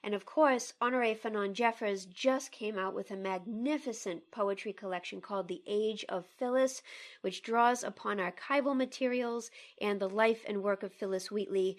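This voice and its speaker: A woman's voice: medium pitch, moderate pace. A woman co-hosting a poetry discussion, speaking in a careful, articulate way as she reads closely and poses questions. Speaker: podcast co-host.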